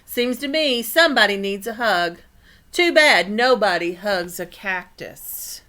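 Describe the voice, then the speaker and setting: A woman's voice: mid-range voice, lively intonation, unhurried, sharp tone. A woman voicing a laid-back, folksy male cowboy in a storybook. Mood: unbothered.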